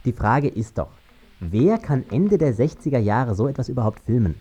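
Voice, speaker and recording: An actor playing a man who chronicles and doubts history in a measured, documentary-like radio drama style. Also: mid-range voice, sing-song, warm tone, husky, dull audio. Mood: measured, doubting. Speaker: radio play speaker. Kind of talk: scripted German radio drama, documentary-like narration.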